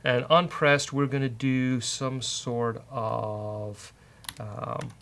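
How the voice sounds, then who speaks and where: A man's voice: medium pitch, steady tone, extremely slow, creaky, background noise. A man hosting a casual coding show, bantering with a co-host and thinking aloud as he works.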